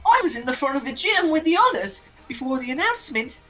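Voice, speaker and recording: A woman's voice: sits high, sing-song, fast, bright, forceful tone, faint music behind, full character voice. A woman voicing an eager teenage student in an anime game fan dub.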